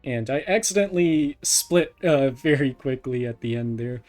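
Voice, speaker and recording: A man's voice: medium pitch, animated, moderate pace, darkish tone, soft background music. A man giving casual live commentary as a speedrunner, explaining each step as he plays.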